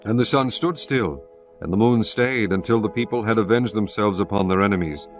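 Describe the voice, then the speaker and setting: A man narrating scripture in a measured, solemn way. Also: on the low side, faint music behind.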